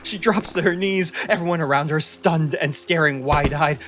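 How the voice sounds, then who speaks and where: A man narrating a tabletop fantasy game as game master, theatrical and deliberate. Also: a little high, over music.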